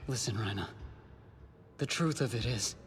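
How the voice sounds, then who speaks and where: A man's voice: lowish voice, normal speed, soft background music, close to an everyday voice. An actor playing a remorseful young male soldier and protective older brother, speaking in an earnest, confessional way in a dubbed anime.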